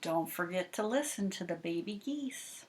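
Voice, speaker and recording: A woman talking to young children as a school librarian, explaining things with pauses and emphasis on key words. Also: lowish voice, animated, darkish tone, slight background noise. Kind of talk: read-aloud talk for young children.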